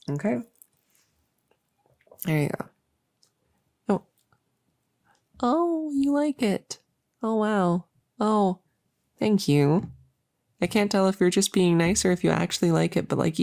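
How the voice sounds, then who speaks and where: A very mellow, slightly low female voice, close and very dry, acted by a woman as a nervous cultist in an intimate audio roleplay. She is lonely and gentle under a bossy front, halting and stammering as she rambles softly, with breathy edges. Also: no room sound, heavily acted. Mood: giddy, grateful. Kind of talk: intimate roleplay audio drama, sleep-aid ASMR. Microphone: close, dry microphone.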